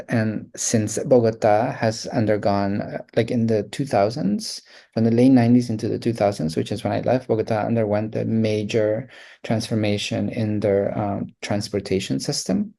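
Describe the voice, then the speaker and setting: A man's voice: fairly low pitch, steady tone, normal speed, creaky, warm tone. A man in his thirties from Colombia with a Colombian accent, a guest talking reflectively in a casual interview, with many fillers and restarts. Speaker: guest artist.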